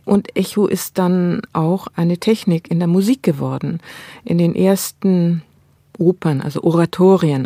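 A woman talks as a scholarly interview guest. Her voice sits fairly low and has a dark, mellow tone. She explains ideas reflectively, recorded very dry with no room sound. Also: no echo.

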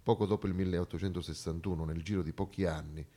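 A man performing an emphatic theatrical monologue, with rhetorical flourishes and dramatic pauses. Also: low-pitched, fairly even intonation, dark tone. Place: theatre stage, live performance.

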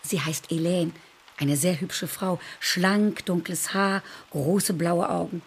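An actress playing a kindly, fussing carer in a radio drama, talking in an effusive, chatty way. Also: female voice, fairly low pitch, steady tone, slow, slight background noise. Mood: gossipy.

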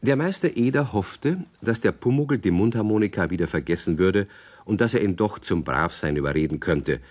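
An actor as the storyteller of a children's radio play, narrating calmly from a script. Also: male voice, low voice, normal speed. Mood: measured storytelling.

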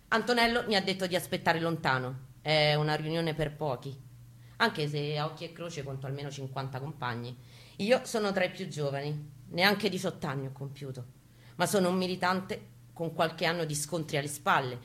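A woman giving a theatrical, expressive first-person reading from a book, in a storytelling style. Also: low voice. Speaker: reader performing a book excerpt. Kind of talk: dramatic first-person literary reading with guitar. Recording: live reading over acoustic guitar. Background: acoustic guitar music.

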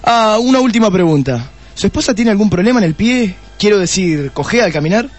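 Un actor como detective argentino impaciente, voz aguda y rasposa. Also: male voice, sits high, animated, fairly quick. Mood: questioning. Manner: exasperated, bossy. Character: impatient, brooding male police detective. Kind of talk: comic radio play acting.

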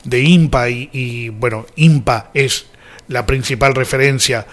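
A man's voice: mid-range voice, measured pace, fairly bright tone. A man with an Argentine accent hosting a radio show, giving reflective, conversational commentary. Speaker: radio host.

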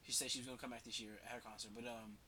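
A man's voice: medium pitch, flat delivery, very fast, bright, forceful tone, slight background noise. A man with a head cold, talking casually and rambling as a podcast host, slangy and swearing freely. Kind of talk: casual solo commentary.

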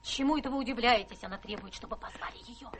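An actress in a Russian radio play playing a maidservant who talks in a curt, matter-of-fact manner. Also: female voice, a little high, fast talker, a bit bright, a little hiss or hum, lightly acted. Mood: curt, hushed. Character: brusque, hushed maidservant. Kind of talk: Russian theatrical radio drama acting.

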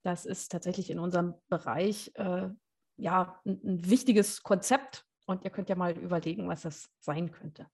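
A woman's voice: fairly low pitch, normal speed, darkish tone, slightly rough. A woman researcher, a guest on a podcast, chatting casually and explaining a concept with frequent hesitations.

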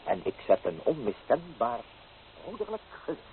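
A man's voice: medium pitch, very animated, moderate pace, lightly acted. A man playing a teasing, flamboyant young bohemian painter in a radio play, theatrical and playfully mocking. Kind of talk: Dutch radio play acting. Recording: tinny old radio recording with hiss.

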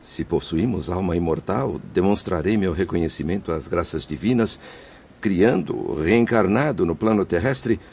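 A man's voice: sits low, animated, normal speed, vocal fry, a little mellow, slight background noise. An actor playing the guilt-ridden, grateful spirit of a father in a radio soap, speaking in a solemn, declamatory way. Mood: hopeful. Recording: dull lo-fi radio drama recording.

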